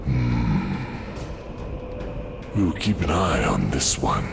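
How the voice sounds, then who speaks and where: A man playing a masked, horned dark overlord in a fantasy radio play, terse, deliberate and coldly commanding. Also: very deep voice, very animated, vocal fry, over music, exaggerated voice. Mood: cold menace.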